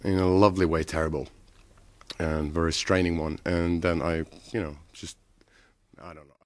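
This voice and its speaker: A man answering interview questions in a halting, musing way, with long pauses as he reflects. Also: deep voice, little pitch movement, no room sound.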